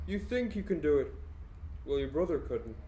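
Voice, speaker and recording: A man's voice: on the high side, big pitch swings, moderate pace, slight background noise, low-quality recording. A man playing a bored, taunting, unhinged criminal mastermind in an amateur audio drama, theatrical and mocking. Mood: manic, menacing. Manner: theatrical, mocking, swooping between hush and outburst.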